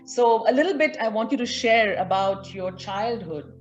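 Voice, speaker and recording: A young woman hosting a casual interview, whose sentences often trail off softly toward the end. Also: fairly high pitch, normal speed, lo-fi sound, soft background music. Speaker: host.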